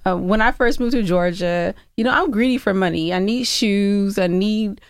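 A woman's voice: medium pitch, normal speed, clean tone, no echo, studio quality. A woman co-hosting a podcast, telling stories in casual, playfully argumentative banter. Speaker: podcast co-host.

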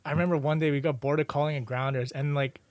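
A young man with a slightly high voice, recorded very dry. He tells stories casually and with animation, as if among old friends, throwing in slang and swearing. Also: fast, no room sound. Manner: animated storyteller, slangy and profane. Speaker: podcast host. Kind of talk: casual chat, reminiscing with friends.

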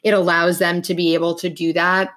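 A young woman co-hosting a podcast, talking in a relaxed, casual way with lots of fillers. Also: lowish voice, brisk. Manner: relaxed, casual, many "like" and "you know" fillers. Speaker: podcast co-host.

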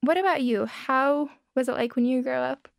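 A young woman from Iraq, calmly telling a personal story in a recorded conversation. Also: high-pitched, normal speed, vocal fry, no room sound, studio quality. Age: young adult.

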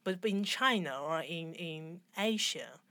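Hong Kong-accented woman, lower voice. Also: lively intonation, sharp tone, dry recording. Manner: halting, searching for words. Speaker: fashion designer, guest. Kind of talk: conversational interview.

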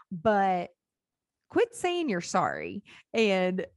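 A woman's voice: medium pitch, dry recording, studio quality. A woman hosting a business podcast in an upbeat, folksy way, greeting listeners with "y'all". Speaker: podcast host. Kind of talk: casual interview chat.

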